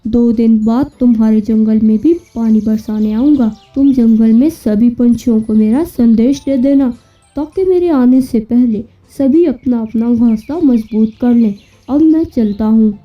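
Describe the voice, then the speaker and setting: A woman's voice: a little high, unhurried, natural voice. A woman voicing a friendly, courteous rain cloud making announcements in a children's cartoon story. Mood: friendly, announcing. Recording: muffled, distant-sounding, music behind.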